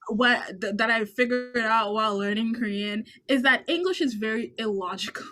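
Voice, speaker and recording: A woman who grew up speaking Dutch co-hosts a casual podcast. Her voice is very bright and sits a little high. She talks in a loose, conversational way. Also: normal speed, soft background music. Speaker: podcast co-host. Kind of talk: casual chat.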